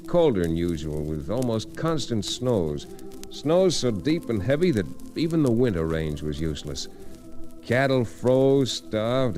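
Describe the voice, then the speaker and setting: A folksy frontier lawyer spins a wry tale of the West. His low voice moves with a lot of variety, unhurried in the manner of 1940s radio drama, with faint music behind it. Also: darkish tone. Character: frontier town lawyer and troubleshooter, wry Western storyteller. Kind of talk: 1940s radio Western narration. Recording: vintage radio recording with music.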